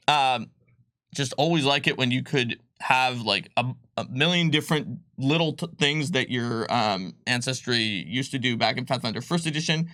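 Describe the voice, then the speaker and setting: A man's voice: medium pitch, sharp tone, dry recording, studio quality. A man casually explaining tabletop game rules on a livestream, rambling with lots of likes and ums. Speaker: game designer presenting rules.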